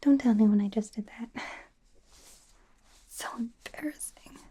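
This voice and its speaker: Slow whispering, anxious young werewolf doctor played by a woman. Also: fairly high pitch. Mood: embarrassed, confiding. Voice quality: breathy whisper. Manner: hesitant, stammering, flustered and gentle. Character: anxious, awkward young female werewolf medical student playing doctor. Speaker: ASMR roleplay performer. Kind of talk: soft-spoken, whispered ASMR roleplay.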